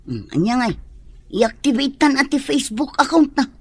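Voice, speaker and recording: A nervous younger sister type, husky, a bit high. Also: female voice, sing-song, moderate pace, dry recording, exaggerated voice. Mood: uneasy. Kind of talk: radio drama acting.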